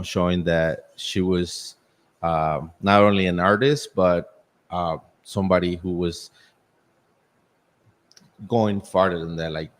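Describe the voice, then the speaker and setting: A man from Mexico City speaks in a very deep, low voice with a Mexican accent. He talks haltingly, full of "uh" and restarts, as a restaurant owner chatting casually about his place. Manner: halting, many fillers and restarts. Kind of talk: casual interview chat. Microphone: shared handheld mic.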